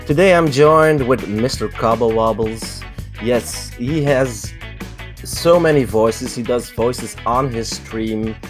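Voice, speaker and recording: A man hosting a podcast who interviews a guest in a slow, friendly manner, lavish with praise. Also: mid-range voice, faint music behind. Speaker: podcast host.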